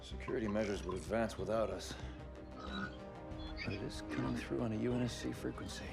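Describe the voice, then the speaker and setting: Steady, commanding starship captain. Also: male voice, on the low side, fairly quick, vocal fry, over loud music. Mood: resolute, hopeful. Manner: authoritative, dry, clipped orders. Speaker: voice actor in game cutscene. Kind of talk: scripted sci-fi drama.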